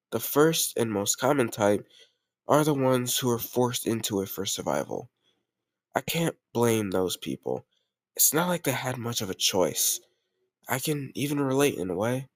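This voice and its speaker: A man's voice: medium pitch, no room sound, natural voice. A man playing a doting but ruthless mafia boss in an intimate audio roleplay, commanding yet affectionate, using gangster slang.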